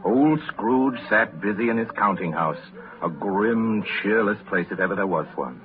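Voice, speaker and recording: A man's voice: lowish voice, animated, moderate pace, natural voice. A man narrating a 1930s radio drama as scripted storytelling, grave and theatrical. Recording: narrow-band 1930s radio, faint music behind.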